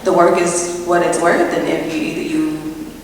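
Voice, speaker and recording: Young woman, panel artist, steady and earnest with ums, slightly low voice; long hall reverb, faint hiss. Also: normal speed. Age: young adult. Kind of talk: panel discussion answer. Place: large gallery hall with audience. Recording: echoey hall, distant mic, faint noise.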